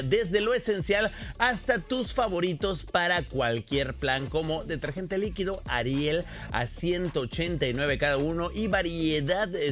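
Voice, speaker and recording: A man's voice: on the high side, fairly bright tone. A man with a Mexican accent speaking as a radio host, chatting casually in colloquial, informal language. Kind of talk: casual radio chat with ad reads and commentary.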